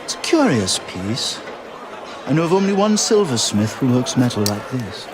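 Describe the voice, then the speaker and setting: An actor playing a witty, theatrical aristocratic libertine in a video game, speaking in florid, teasing drawls with grand flourishes. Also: male voice, medium pitch, sing-song, moderate pace, music in the background, crowd noise, natural voice. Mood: sly, musing. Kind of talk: video game cutscene acting.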